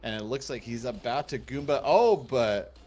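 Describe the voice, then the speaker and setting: A man's voice: medium pitch, expressive, moderate pace, darkish tone. A man commentating a video game tournament on a casual livestream, breaking down the play with dry joking asides.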